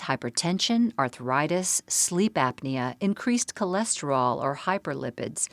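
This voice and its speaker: Female narrator for a medical presentation: low register, measured and explanatory, close dry recording. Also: no echo. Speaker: seminar narrator.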